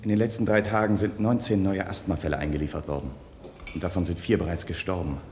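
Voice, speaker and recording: Deep, gravelly, worried man, echoey hall. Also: steady tone, fast talker, darkish tone. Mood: grim. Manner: terse, grave. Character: grave, worried male hospital colleague bringing bad news. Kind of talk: German radio play acting. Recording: echoey reverberant hallway, background noise.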